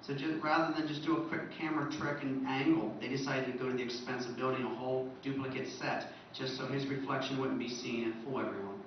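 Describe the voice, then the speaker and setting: A man's voice: mid-range voice, fairly even intonation, fast, few pauses. Male convention presenter, informal lecture delivery, very reverberant room, muffled pickup. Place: large echoey presentation room. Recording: echoey room, distant muffled mic, faint noise.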